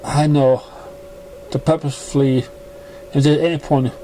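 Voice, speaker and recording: Guy reading fanfic out loud, kinda stumbly, relaxed dark-ish tone, some noise behind him. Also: fairly high pitch, rough recording. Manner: stumbling, halting reading with misread words. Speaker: amateur fan fiction reader. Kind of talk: reading a story aloud. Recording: noticeable background noise, amateur recording.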